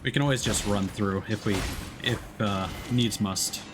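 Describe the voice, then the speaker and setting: A man giving casual live commentary as he plays a video game, thinking aloud in a halting way. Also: fairly low pitch. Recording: game music behind the voice. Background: game music.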